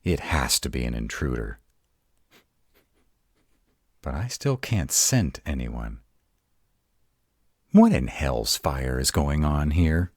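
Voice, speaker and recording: A very deep male were-dragon, acted by a man, gruff, grumpy and protective. He speaks slowly and haltingly in a roleplay audio, exasperated and defiant, with lively swings in pitch. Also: dry recording, clean studio sound, full character voice. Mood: rattled, uneasy. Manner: gruff, exasperated, halting one-sided dialogue.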